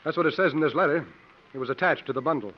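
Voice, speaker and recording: Actor as a suave, sardonic rogue, lightly pitched. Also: male voice, racing, natural voice. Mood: brisk. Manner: urbane, dryly formal, unruffled. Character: suave, sardonic, roguish male adventurer, cool under fire. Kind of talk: 1950s radio acting. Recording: dull, noisy 1950s radio recording.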